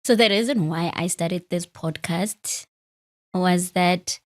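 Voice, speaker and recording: A woman's voice: on the low side, slow pace. A woman in her late thirties, a podcast host talking openly and with some self-deprecating humor in a conversational interview. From Free State, South Africa. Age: late 30s. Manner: open, self-deprecating, laughs easily.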